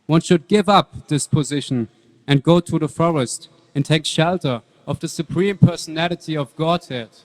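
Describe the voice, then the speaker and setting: A man voices a devout young boy in a dramatic stage reading. He is serene, measured and earnest, with a slightly high voice resounding in a big reverberant hall. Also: close to an everyday voice.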